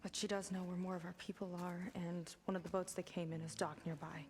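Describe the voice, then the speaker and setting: A woman's voice: lowish voice, little pitch movement, brisk, a little mellow, close to an everyday voice. A woman playing a sincere, capable young ally in a video game, earnest and matter-of-fact. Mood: subdued gratitude. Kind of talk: naturalistic video game dialogue acting.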